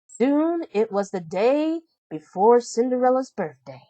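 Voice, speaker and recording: A woman narrates a children's storybook. She reads slowly and deliberately, with animated, expressive intonation. The sound is dry, with no room around it. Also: fairly high pitch, no echo. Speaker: storybook reader. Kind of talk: children's read-aloud narration.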